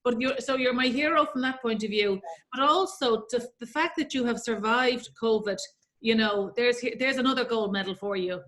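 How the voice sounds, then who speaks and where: An Irish woman with a fairly high voice, hosting a casual interview over a video call. She gushes with praise and runs her sentences together at length. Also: moderate pace, a bit bright. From Ireland. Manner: effusive, gushing with praise, long run-on sentences. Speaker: host.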